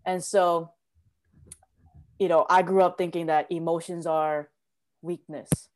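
A woman's voice: on the low side, little pitch movement, slow pace, a little hiss or hum. A woman in her late twenties from South Korea sharing her personal story in an earnest, reflective, emphatic way during a conversation. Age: late 20s.

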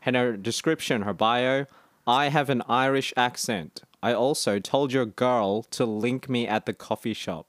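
A man's voice: medium pitch, unhurried, dry recording, clean studio sound. A man co-hosting a casual podcast, joking and laughing often as he reads out dating profiles. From Australia. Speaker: podcast co-host. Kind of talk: casual banter.

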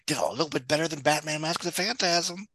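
Guy guest pundit doing casual analysis, bright forceful voice, very dry audio. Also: on the high side, quick pace, dry recording. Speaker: guest film and box office commentator.

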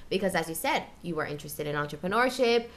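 A woman's voice: fairly low pitch, lively intonation, quick pace, fairly bright tone. A woman hosting a casual interview, recalling her guest's progress and asking encouraging questions.

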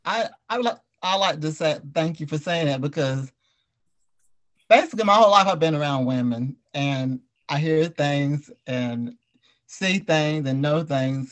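A man hosting a casual group interview, putting questions to his guests. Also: a little high. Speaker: podcast host and audio drama creator.

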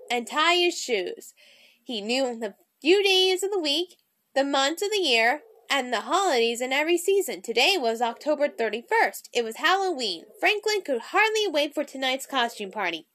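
High-pitched woman reading a children's story. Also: fairly bright tone. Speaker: host reading children's stories aloud. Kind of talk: animated storybook read-along.

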